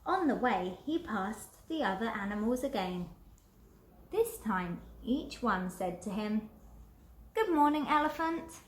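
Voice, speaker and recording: A woman reading a children's picture book aloud expressively and deliberately, pausing to put questions to young listeners. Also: mid-range voice, lively intonation, reverberant, a little hiss or hum. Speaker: children's storybook reader.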